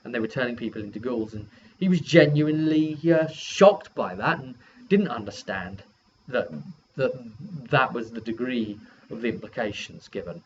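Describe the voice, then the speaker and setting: A man with an average-pitched voice casually retells a role-playing session as its game master. He rambles along informally as he narrates. The recording is dull and lo-fi, with faint noise behind him. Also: normal speed. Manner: rambling, acts out quoted dialogue informally. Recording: dull lo-fi audio with background noise.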